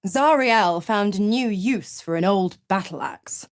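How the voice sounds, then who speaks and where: An actress voicing a seductive, gloating devil patron in a video game, with a silky, condescending, theatrical manner of cruel teasing. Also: female voice, mid-range voice, expressive, a little slow, no echo, clean studio sound. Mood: mocking, gloating. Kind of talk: video game character voice acting.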